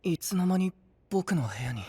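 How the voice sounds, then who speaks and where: An actor playing a gentle young knight burdened by devotion, in an anime-style voice drama. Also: male voice, high-pitched, expressive, normal speed, husky, no echo, exaggerated voice. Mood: brooding, quiet.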